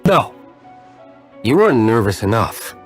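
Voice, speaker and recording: A man's voice: lowish voice, sing-song, measured pace, soft background music. A man voicing an aloof, brooding nobleman fighter in a video game, curt and dismissive. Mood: dry.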